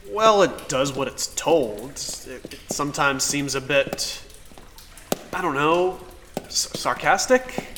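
Skeptical, halting cop, noisy background. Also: male voice, fairly high pitch, expressive. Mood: guarded, dry. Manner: halting, stammering, dismissive. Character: skeptical, defensive male police officer in cyberpunk future. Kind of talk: naturalistic audio drama acting.

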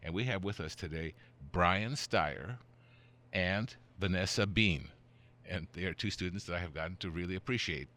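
Deep-voiced older man hosting a podcast. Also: normal speed, pushed and bright. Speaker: podcast host. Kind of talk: casual interview.

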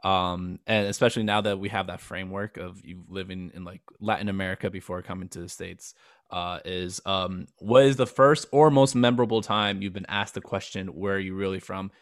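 A man's voice: deep voice, a little fast, no room sound, clean studio sound. A young man hosting a podcast, chatting casually and easily, often affirming his guest. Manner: easygoing, affirming with frequent short backchannels. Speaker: podcast host. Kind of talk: casual interview chat.

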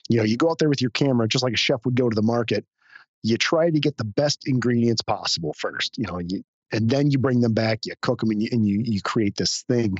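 A hoarse man who is a guest on a podcast, chatting modestly with frequent restarts. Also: medium pitch, fast, no echo, highs cut off. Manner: modest, hesitant, many restarts. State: hoarse, recovering from illness. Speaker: podcast guest, hobby photographer. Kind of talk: casual interview chat.

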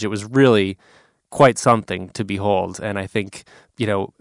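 A man with a smooth, resonant, somewhat low voice hosts an interview, posing long and articulate questions. The sound is dry and close, with no room around it. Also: dry recording. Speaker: interviewer host.

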